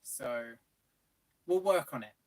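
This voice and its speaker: A man's voice: mid-range voice, animated, slow. A man in his twenties chatting casually on a live stream and answering viewer questions. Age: 20s. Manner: easygoing, reads viewer questions. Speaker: co-host.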